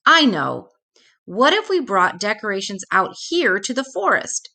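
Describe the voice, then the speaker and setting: A woman voicing a kindly, thoughtful father in a children's storybook, reasoning gently with his child. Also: mid-range voice, very animated, a little slow, vocal fry, exaggerated voice. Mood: warm, thoughtful.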